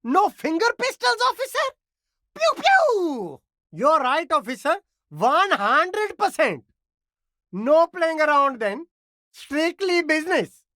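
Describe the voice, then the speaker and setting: An actor voicing a cheerful, slick and eager immigrant street vendor in a video game, with flattering, laid-back sales patter. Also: sits high, slow pace, dry recording, studio quality, heavily acted. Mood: playful, excited, then sly, ingratiating. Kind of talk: video game character dialogue.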